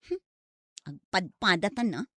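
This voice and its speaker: A woman's voice: mid-range voice, sing-song, measured pace, fairly bright tone, slightly rough, no room sound, clean studio sound, full character voice. A woman playing a wheedling young villager with an Ilocano accent in an audio comedy drama.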